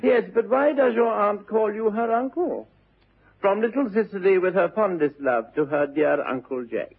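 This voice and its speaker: An actor playing a witty, idle young gentleman with an upper-class English accent, arch and mock-serious in a vintage theatrical comedy style. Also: sits high, expressive, normal speed, vocal fry, breathy edge, exaggerated voice. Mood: mock puzzled. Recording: dull, muffled old radio recording.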